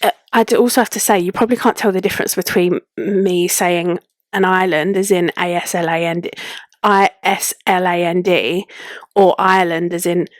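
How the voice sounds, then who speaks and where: A woman's voice: fairly low pitch, fairly even intonation, moderate pace, no room sound. A young woman with a London accent hosting a podcast, chatting casually in an informal, slangy way. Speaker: podcast host. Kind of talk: casual solo podcast chat.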